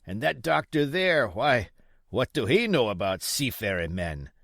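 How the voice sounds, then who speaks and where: A man voicing a sick, desperate old pirate in a storybook, wheedling and blustering in rough sailor's dialect. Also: mid-range voice, very animated, unhurried, fairly bright tone, no echo, clean studio sound, full character voice. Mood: indignant, pleading. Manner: rough nautical dialect, pleading and cursing.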